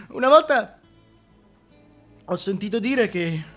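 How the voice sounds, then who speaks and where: A man's voice: expressive, very slow, soft background music, exaggerated voice. An actor playing a vain, self-pitying tyrant king in a theatrical, declamatory radio drama monologue, volatile and contemptuous. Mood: wistful, manic. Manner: declamatory, volatile, contemptuous, swinging from hushed to shrill.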